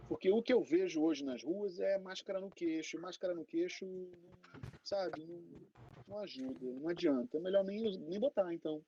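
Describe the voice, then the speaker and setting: A man from Rio, a guest professor answering in a candid, conversational and halting way, often checking for agreement. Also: high voice, mellow. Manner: candid, halting, frequently seeking agreement with tags. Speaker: invited professor answering questions. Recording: dull lo-fi audio with faint noise.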